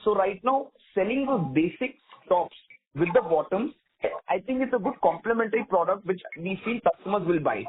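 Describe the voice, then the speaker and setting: A man's voice: sits high, lively intonation, normal speed, a little hiss or hum. A man with an Indian accent speaking as a company executive on an earnings call, explaining and rephrasing his points as he answers. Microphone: telephone conference call. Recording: narrow tinny phone line.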